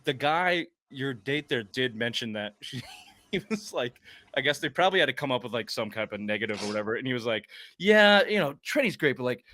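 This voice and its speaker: A man's voice: mid-range voice, animated, fairly quick, pushed and bright, no echo. A man co-hosting a sports podcast, teasing the guest in casual chat. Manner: teasing, jokey. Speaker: podcast co-host.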